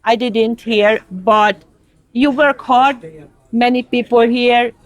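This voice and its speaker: A woman in her sixties from Hungary, speaking English with a Hungarian accent as a diner employee, frank and unhurried. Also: a little high, fairly even intonation, poor audio. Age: 60s. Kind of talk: casual interview.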